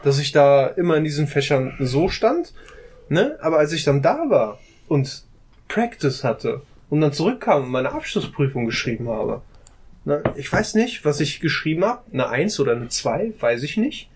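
A man's voice: on the high side, sing-song, normal speed, darkish tone, a little hiss or hum. A man in a casual group discussion, talking at length and often ending phrases with a questioning "ne?". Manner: talkative, often adds a questioning "ne?".

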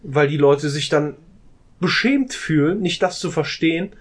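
A man's voice: fairly high pitch, unhurried, breathy edge. A man in a casual group discussion, talking at length and often ending phrases with a questioning "ne?". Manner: talkative, often adds a questioning "ne?".

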